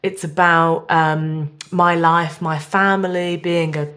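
A woman's voice: on the low side, level tone, takes it slowly, fairly bright tone. A young woman comedian chatting casually as a guest, jokey and self-deprecating. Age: young adult. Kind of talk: casual playful chat.

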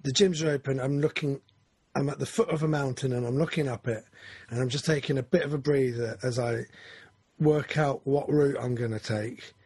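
A man's voice: mid-range voice, steady tone, moderate pace, a little mellow, slightly rough, no room sound. A man, talking through his goals in a casual, rambling, self-correcting chat. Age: 40s.

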